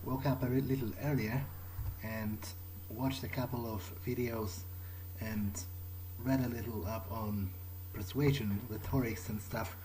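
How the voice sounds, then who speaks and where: A man doing a casual in-character gaming monologue, musing in a low-key, hesitant way. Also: fairly even intonation, measured pace, hardly any pauses, mellow, a little hiss or hum, rough recording. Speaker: gamer roleplaying in character.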